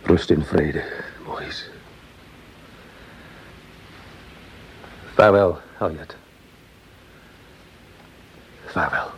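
An actor playing a grave, guilt-ridden soldier in a radio drama, speaking with heavy, hushed solemnity. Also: male voice, extremely low pitch, a little slow, a little hiss or hum, low-quality recording. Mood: sorrowful resignation.

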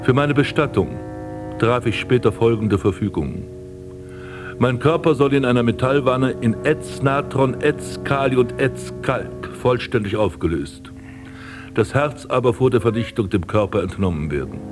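An actor playing an embittered, melancholy aristocratic prince in a German radio drama, with theatrical, eloquent delivery. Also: male voice, medium pitch, normal speed, over music, natural voice. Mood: grimly formal. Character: embittered, melancholy aristocratic prince recalling his wasted life and burial.

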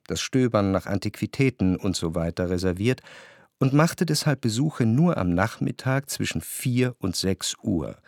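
Reflective radio-play narrator, lowish voice, dry studio sound, measured, deliberate, refined, observant. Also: male voice, a little mellow, no echo. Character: reflective male first-person narrator, observant, refined society memoirist.

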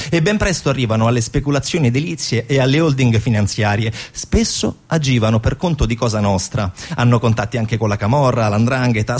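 A man delivering dramatic scripted narration as a theatre narrator, emphatic and ironic. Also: medium pitch. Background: music.

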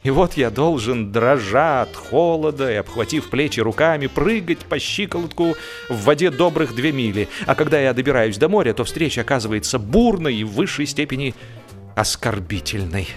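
A man narrating a humorous literary story in an expressive, theatrical way, with dramatic pauses and emphasis. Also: medium pitch, soft background music. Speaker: audiobook narrator.

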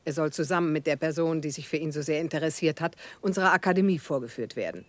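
A woman's voice: sits low, fast talker, no room sound, lightly acted. A woman playing a capricious Queen of France in a German radio drama, haughty, regal and commanding. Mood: imperious. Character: capricious, imperious Queen of France, grandly condescending.

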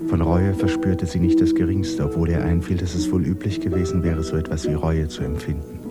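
A man's voice: low voice, little pitch movement, fairly quick, natural voice. A man reading literary narration in a 1950s radio drama. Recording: 1950s radio recording, loud guitar music behind.

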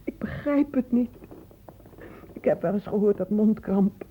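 A woman's voice: medium pitch, measured pace, a little hiss or hum, lightly acted. An actress playing the bewildered, defensive mother of a sick teenager in a Dutch radio drama, hesitant, on a muffled old recording. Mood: bewildered, anxious. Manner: confused, hesitant. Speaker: actor in a radio play.

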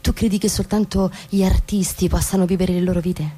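A frustrated young suburban wife in a radio play. Her voice is soft-edged, mellow and husky. She presses her point intensely, repeating words with fervent insistence. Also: lowish voice, steady tone, brisk. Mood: intense, pleading. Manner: intense, insistent, emphatic repetitions. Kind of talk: stage-style radio drama dialogue.

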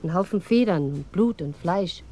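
An actress playing a brisk, plain-spoken police officer in a radio crime drama. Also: female voice, fairly low pitch, animated, dark tone. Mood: disgusted.

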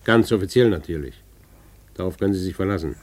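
A man's voice: deep voice, quick pace, darkish tone. An actor playing a sly, self-satisfied older businessman with feigned geniality in a 1950s German radio play. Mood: smug, insinuating.

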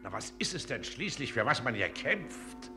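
An actor in a classic German radio play, playing a pompous, suspicious, blustering village magistrate with an officious, emphatic manner. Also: male voice, mid-range voice, very animated, normal speed, sharp tone, faint music behind. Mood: pompous, lecturing.

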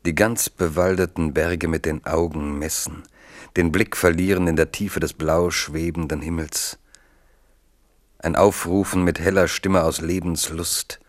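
A man narrating a literary radio drama in a measured, deliberate way. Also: sits low, steady tone, dry recording, clean studio sound.

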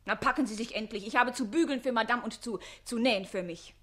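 An actress playing a spirited young chambermaid in a German radio play, pert, emphatic and quick to take offence. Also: female voice, a little high, a bit bright. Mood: indignant.

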